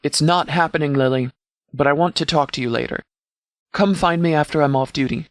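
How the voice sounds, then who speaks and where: A stern wasteland militia sheriff, voiced by a man for a video game, with a slightly high male voice recorded close and dry. He speaks formally and with composed authority, handing out clipped orders in measured sentences. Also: dry recording, close to an everyday voice. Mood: firm, guarded. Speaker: game voice actor playing a sheriff. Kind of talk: scripted video game dialogue.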